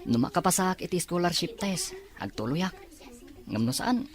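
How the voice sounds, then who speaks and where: A woman's voice: fairly low pitch, very animated, moderate pace. A woman voicing a modest, hopeful teenage boy student in an audio drama, with an Ilocano Filipino accent. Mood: earnest. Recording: crowd noise behind voice. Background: crowd chatter.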